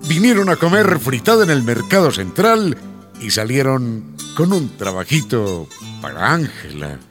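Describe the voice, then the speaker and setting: A man's expressive voice narrates a radio soap with wry flair. His pitch moves widely. A guitar plays faintly behind him. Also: a little slow. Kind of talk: scripted narration.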